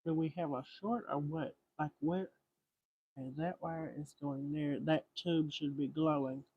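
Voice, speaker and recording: Slow-paced man with a higher-pitched voice. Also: steady tone, husky, narrow, tinny audio. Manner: muttering to himself in short fragments. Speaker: livestream host repairing a vintage radio. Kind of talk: casual live repair commentary, thinking aloud.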